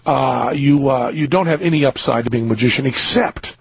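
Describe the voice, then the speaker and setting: A man's voice: medium pitch, fairly quick, creaky, slightly rough, dry recording. A middle-aged man hosting a radio talk show, joking casually and laughing often.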